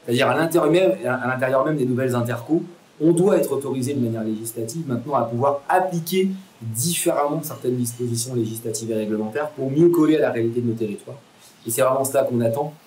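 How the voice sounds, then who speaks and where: A man's voice: medium pitch, a little mellow. A roomy, echoing recording with slight background noise. A man with a mid-range voice answers as a formal expert interviewee. He explains emphatically, often rephrasing his points. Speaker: interviewed association president.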